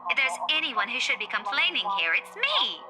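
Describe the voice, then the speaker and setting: Bossy, impatient young female friend for a game played by a woman, high and very lively, under loud music. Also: moderate pace. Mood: huffy, insistent. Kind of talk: game voice acting.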